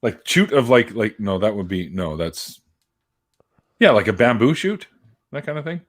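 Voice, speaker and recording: A man's voice: on the low side, very animated, moderate pace. A man hosting a casual chat, teasing and laughing often after a few beers. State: been drinking beer.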